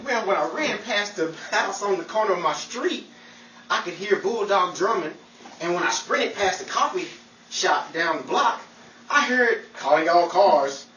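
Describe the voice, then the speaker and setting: A man's voice: high voice, expressive, a little slow, bright, forceful tone, exaggerated voice. A man playing a breezy, quipping amateur sleuth in a 1940s radio comedy style. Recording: echoey, distant mic, faint noise.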